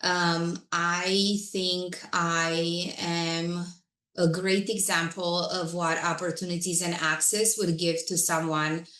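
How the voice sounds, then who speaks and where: A woman's voice: lowish voice, flat delivery, slow pace, fairly bright tone, roomy sound. A woman from Romania, a guest telling her career story in an interview with frequent laughs. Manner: reflective, laughs often.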